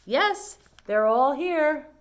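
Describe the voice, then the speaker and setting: A woman's voice: high-pitched, animated, extremely slow, pitched above the performer's own voice, heavily acted. Storybook housemaid, played by a woman reader: cheerful, literal-minded, folksy, plain-spoken, sure of herself. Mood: satisfied. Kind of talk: children's storybook character reading.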